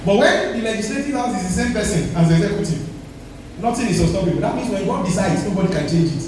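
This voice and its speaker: A man's voice: high voice, animated, fast, very echoey, recorded from a distance, noisy background. A male pastor preaching a sermon with emphatic delivery, calling the congregation to respond.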